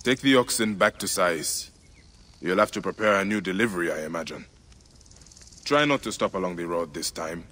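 An actor playing a determined ancient Egyptian avenger in a video game, terse and earnest. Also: male voice, low voice, animated, normal speed, fairly bright tone. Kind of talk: video game cutscene acting.

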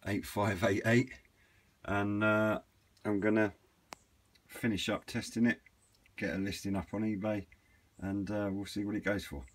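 A man's voice: lowish voice, level tone, unhurried, long pauses. A British man presenting equipment in a casual, unscripted walkthrough, with an informal, slightly hesitant, matter-of-fact way of talking. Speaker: YouTube presenter of radio equipment videos.

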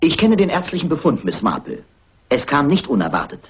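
An actor playing a sceptical, exasperated police inspector in a German crime radio drama, dismissive and emphatic. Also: male voice, on the high side, big pitch swings, brisk, breathy edge, exaggerated voice.

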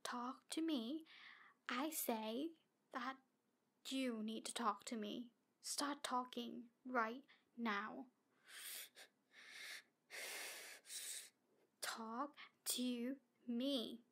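Woman acting a high-voiced, breathy, obsessive demon girl. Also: fairly bright tone, full character voice. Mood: pleading, hurt. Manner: breathy, halting, drifting into whispers. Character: jealous, obsessive, pleading yandere demon woman. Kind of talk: ASMR roleplay acting.

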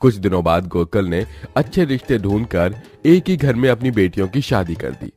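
A man's voice: fairly low pitch, animated, moderate pace, a little mellow, slightly rough, faint music behind. A man narrating a scripted moral story as a storyteller.

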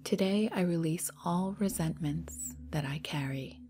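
Slow, low-voiced woman guiding meditation. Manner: soothing, unhurried, with long pauses. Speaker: meditation guide. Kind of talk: guided meditation. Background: meditation music.

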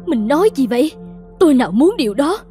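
A woman plays a gentle middle-aged cartoon mother, full of worry. Her high voice is soft-edged and mellow, pleading earnestly over light background music. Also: big pitch swings, fast. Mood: pleading, anxious. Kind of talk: animated cartoon drama dialogue. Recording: music behind the voice.

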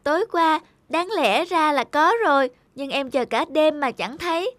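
Sweet high-pitched little girl. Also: a little slow, a bit bright, no room sound. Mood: eager, chatty. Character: small injured little girl, sweet and affectionate younger sister. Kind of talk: children's cartoon voice acting.

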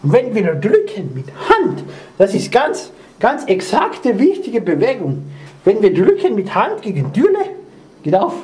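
Young man doing a high-pitched, silly foreign tour guide. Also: huge pitch swings, a little mellow, heavily acted. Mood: mock-earnest. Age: young adult. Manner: halting, mock-formal, over-explaining. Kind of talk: comic room tour to camera. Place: small tiled hotel bathroom. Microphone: handheld camcorder. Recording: echoey tiled bathroom, noisy camcorder.

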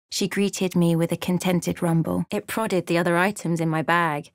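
Measured, dramatic fantasy adventurer. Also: female voice, on the low side, dry recording, studio quality. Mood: grave narration. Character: female fantasy adventurer recounting her encounters, wry and intense. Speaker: video game voice actor. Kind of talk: scripted fantasy game narration and character lines.

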